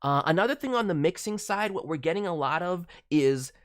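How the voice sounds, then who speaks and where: A woman's voice: on the low side, lively intonation, a little fast. A woman working as a voice director, giving a casual spoken critique with advice in an emphatic manner. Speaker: voice director reviewing demo reels.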